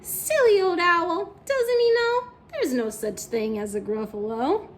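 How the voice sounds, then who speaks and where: A woman puts on a small, cheeky storybook mouse with a very high, sing-song voice. The mouse is elaborately polite and quietly teasing. The pitch swoops up and down over faint background noise. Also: animated, measured pace. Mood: smug.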